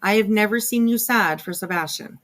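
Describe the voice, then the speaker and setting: A woman's voice: mid-range voice, a little fast, a bit bright. A woman giving casual live commentary as a stream host, rambling and sardonic, with a confrontational edge. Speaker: livestream host reacting to another creator's video.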